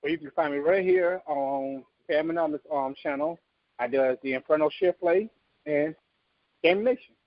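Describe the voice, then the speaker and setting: A man's voice: a little high, big pitch swings, moderate pace, no room sound, highs cut off. A man co-hosting a podcast in a casual, conversational style. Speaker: podcast co-host. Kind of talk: casual chat.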